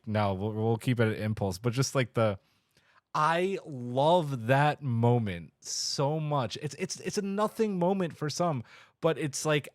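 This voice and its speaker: A man co-hosting a podcast in casual, heartfelt chat, telling stories emphatically and haltingly. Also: medium pitch, no room sound, studio quality. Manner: emphatic, halting, enthusiastic storytelling with acted-out quotes. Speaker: podcast co-host.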